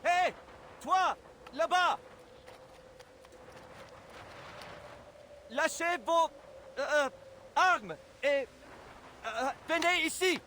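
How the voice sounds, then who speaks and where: An actor playing a young, deferential German private in a war game, eager but hesitant. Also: male voice, extremely high pitch, expressive, fairly quick, a bit bright, a little hiss or hum. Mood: halting, shouting.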